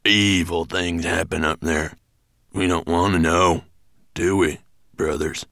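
A man's voice: deep voice, fairly even intonation, slow pace, vocal fry, fairly bright tone, no echo, studio quality, full character voice. A man voicing a secretive, self-important, grumbling little mountain dwarf in a children's storybook. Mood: wary, gruff.

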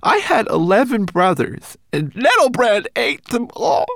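A tiny, tearful homunculus servant, his voice pitched high and trembling as he stammers out his remorse, put on by a man reading aloud. The lines swoop widely and are set close and dry. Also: big pitch swings, a little slow, vocal fry, a bit bright, no room sound, full character voice. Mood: sorrowful.

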